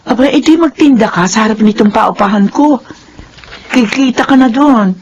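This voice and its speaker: A woman's voice: on the high side, normal speed, darkish tone, highs cut off, a little hiss or hum, lightly acted. A woman playing a practical, kindly older landlady in a Filipino radio drama, encouraging and matter-of-fact.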